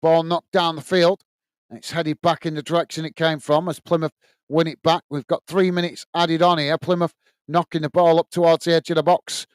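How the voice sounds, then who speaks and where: A man's voice: fairly high pitch, level tone, normal speed, smooth, dry recording. A man with a strong Lancashire accent hosting a live football watch-along, calling the play and reading out viewer comments in a chatty way with lots of "uh"s. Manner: chatty, reads out chat messages with filler "uh".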